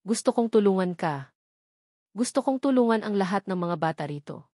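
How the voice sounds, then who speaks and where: A woman's voice: mid-range voice, lively intonation, moderate pace, dark tone, dry recording, studio quality, natural voice. A woman voicing a kind, hopeful young woman, the earnest and tender heroine of a story.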